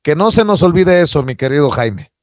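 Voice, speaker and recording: A Mexican man with a notably high voice presents political commentary as a show host. His delivery is emphatic and accusatory, and the sound is dry and close. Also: lively intonation, fairly quick, no echo. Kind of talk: political news commentary, partly read from notes.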